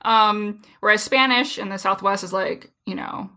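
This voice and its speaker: A woman's voice: mid-range voice, sharp tone. A woman from the US Southwest, co-hosting a podcast and laughing often. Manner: giggly, quick to laugh. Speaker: podcast co-host. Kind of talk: casual chat.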